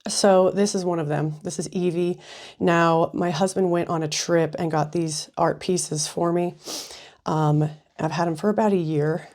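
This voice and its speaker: Woman, craft tutorial host, slightly raspy with a cold, mellow, chatty, frequent ums. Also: fairly low pitch, fairly even intonation, measured pace.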